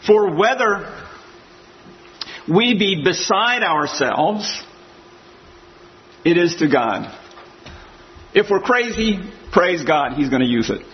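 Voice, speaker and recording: A man's voice: high voice, lively intonation, takes it slowly, long pauses, muffled recording, a little hiss or hum. A man preaching an expository sermon. He reads scripture aloud and then explains it verse by verse.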